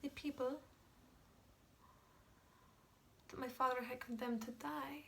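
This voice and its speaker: A woman acting a guilt-ridden, fragile Polish woman in a dramatic monologue, with a Polish accent and halting, stammering speech that often drops to whispers. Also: high voice, steady tone, vocal fry, fairly bright tone, recorded from a distance, slight background noise. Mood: haunted. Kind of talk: dramatic film monologue, amateur.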